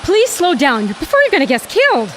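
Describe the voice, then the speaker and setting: A woman acting in a radio drama as an exasperated, sharp-tongued wife scolding her husband in a car. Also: very high voice, huge pitch swings, quick pace, full character voice. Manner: scolding, sarcastic. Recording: clear car engine and road noise.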